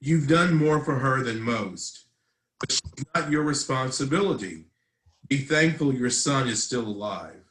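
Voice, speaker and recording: A man's voice: medium pitch, expressive, a little slow, a bit bright. A man playing a jaded, dismissive district attorney in a drama table read, recorded in an echoing room. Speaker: actor in a web series table read. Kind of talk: scripted drama read aloud.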